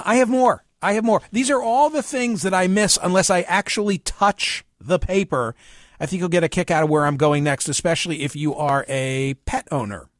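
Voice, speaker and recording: A man hosting a radio talk show, reading news aloud and commenting conversationally with emphatic, dramatic pauses. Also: on the high side, no echo, studio quality.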